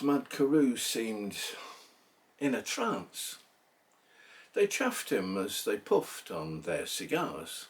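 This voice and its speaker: A man giving a theatrical, measured recitation of a narrative poem, pausing between phrases. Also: on the high side, creaky, far from the mic. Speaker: poetry reader.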